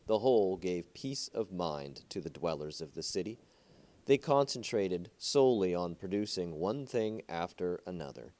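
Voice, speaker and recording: Low-voiced man reading a short story. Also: warm tone. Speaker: amateur reader of short stories.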